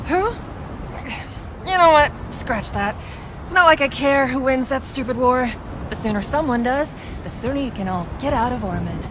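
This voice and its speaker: A woman's voice: high voice, lively intonation, normal speed, background noise. A woman playing a blunt, sardonic and defiant rebel in a video game cutscene. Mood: dismissive, irritated. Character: defiant, blunt, cynical young female rebel.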